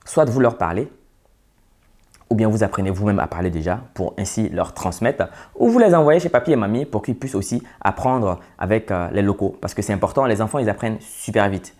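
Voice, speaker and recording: A man speaks in a fairly low voice. He delivers an earnest, advising vlog monologue and pauses on hesitant fillers. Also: animated, darkish tone. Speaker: vlogger. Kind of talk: opinion monologue to camera.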